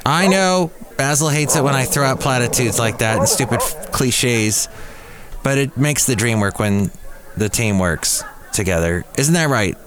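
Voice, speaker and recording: A man's voice: medium pitch, animated, unhurried, crowd noise. A man hosting a solo podcast in a rambling, digressive way, with playful puns. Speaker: podcast host.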